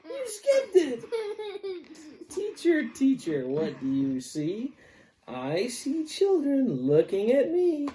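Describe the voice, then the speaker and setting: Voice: high voice, wildly swooping pitch, slow, warm tone. An adult reading a picture book aloud to a young child, playful and sing-song, with exaggerated delivery. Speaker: parent-like storybook reader leading a child.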